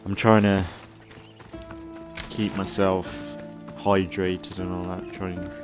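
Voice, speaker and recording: A man giving casual, rambling commentary as a let's play host, thinking aloud in a halting way and sounding slightly congested. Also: deep voice, flat delivery, music in the background. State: slightly congested from an oncoming cold.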